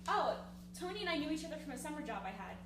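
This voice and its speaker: A woman's voice: sits high, big pitch swings, very fast. A woman acting an earnest, pushy teenage girl, the loyal best friend, in a dramatic stage play reading. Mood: sincere, friendly. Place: theatre stage, live performance. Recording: distant, echoey, noisy theatre recording with hum.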